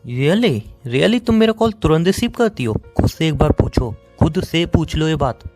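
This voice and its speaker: A man's voice: on the high side, big pitch swings, darkish tone, no room sound, soft background music. A man with an Indian accent playing a sarcastic, dismissive young boyfriend in a phone-call romance drama. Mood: sarcastic, accusing. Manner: sarcastic, dismissive, rapid-fire. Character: bitter, sarcastic young boyfriend fed up with his girlfriend.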